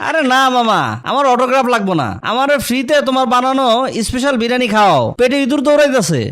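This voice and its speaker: A man's voice: very high-pitched, animated, fast, fairly bright tone, clear, resonant tone. A man voicing a boastful, freeloading uncle in a comedy cartoon, with wheedling colloquial banter and a Bangladeshi accent.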